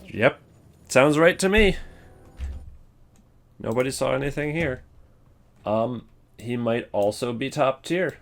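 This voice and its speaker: A man's voice: medium pitch, slow pace, pauses often. A man giving casual, unscripted commentary as a host, rambling and thinking aloud as he goes. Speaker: host ranking video game voice lines.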